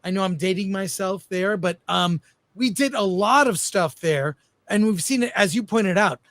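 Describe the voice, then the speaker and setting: High-pitched man in casual chat. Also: moderate pace, fairly bright tone, no room sound. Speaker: talk show panelist.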